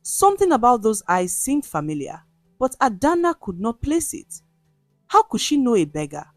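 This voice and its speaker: A woman storyteller reading a scripted folktale in a dramatic, measured way. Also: a little high, very animated, dry recording, studio quality, soft background music.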